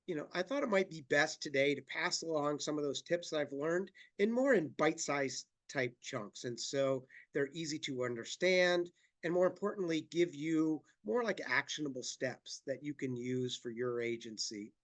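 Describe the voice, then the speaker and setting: A man with a cold presents a webinar in a stuffy, nasal voice pitched somewhat high for a man. He stumbles through restarts and fillers as he warms into his talk. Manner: halting, many fillers and restarts. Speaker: company president giving a presentation.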